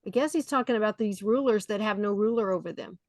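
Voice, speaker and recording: A woman's voice: medium pitch, fast talker, a little mellow, no room sound, clean studio sound. A woman leading a study group, reading scripture aloud and explaining it conversationally. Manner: conversational, with fillers between readings.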